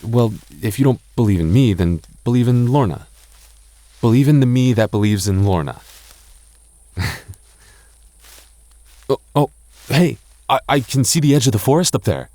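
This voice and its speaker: A man's voice: medium pitch, expressive, moderate pace, pauses often, dark tone, dry recording, close to an everyday voice. A young man voicing a goofy, doting goth boyfriend in an ASMR roleplay, talking in a stammering, self-teasing way with frequent laughs. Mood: playful. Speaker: voice actor.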